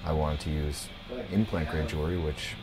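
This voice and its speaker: A man in his thirties talks for a documentary interview in his tattoo shop. His voice sits very low and stays flat, with little rise or fall. He talks in a laid-back, unhurried way and often pauses on "uh". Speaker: tattoo and piercing shop owner.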